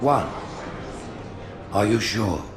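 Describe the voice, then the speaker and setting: A man's voice: fairly low pitch, lively intonation, drawn-out words. A man voicing a regal, coldly eloquent alien prophet in a sci-fi game, grave and oratorical. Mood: grave, judging. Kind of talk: cinematic sci-fi game acting. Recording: loud orchestral score behind.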